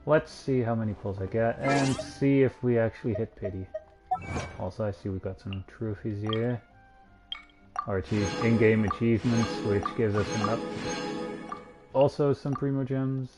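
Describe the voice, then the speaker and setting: Male streamer, slightly higher-pitched voice, casual running commentary, clear game music underneath. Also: a little slow, pauses often. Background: video game music.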